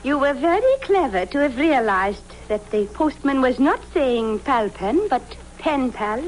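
A woman's voice: high voice, lively intonation, measured pace. An actress playing a smug, cunning female spy with a precise manner in a 1950s radio drama. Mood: smug, menacing. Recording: slightly noisy vintage radio recording.

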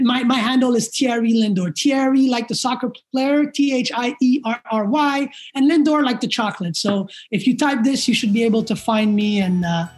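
A man being interviewed as a podcast guest, telling a story in an earnest, emotional way. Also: extremely high pitch. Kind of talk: casual interview talk.

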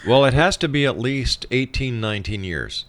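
A man's voice: mid-range voice, expressive, normal speed, a bit bright. A man with a Canadian accent hosting a conversational radio interview. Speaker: radio show host interviewing a guest.

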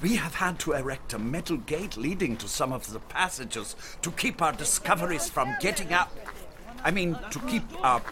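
An actor playing a courteous, learned German archaeologist with a German accent, speaking like a formal storyteller in a radio drama. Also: male voice, fairly high pitch, lively intonation, moderate pace, crowd noise, natural voice. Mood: reverent, explanatory.

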